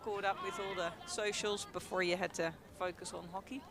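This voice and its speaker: A woman's voice: medium pitch, flat delivery, a little fast. A young Dutch woman with a Dutch accent, chatting casually as a guest commentator at a hockey game, with lots of ums and laughs. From Netherlands. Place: outdoors at a field.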